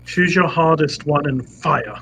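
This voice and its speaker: A man's voice: fairly high pitch, measured pace, creaky, sharp tone, a little hiss or hum, rough recording. Teasing man on a podcast call. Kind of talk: casual chat.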